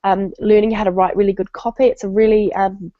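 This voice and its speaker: A woman's voice: mid-range voice, flat delivery, fairly quick, no echo. A woman with a stuffy, nasal voice from a cold, giving a casual online marketing training on a call with many ums and restarts. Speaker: webinar presenter. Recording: dull lo-fi web call audio.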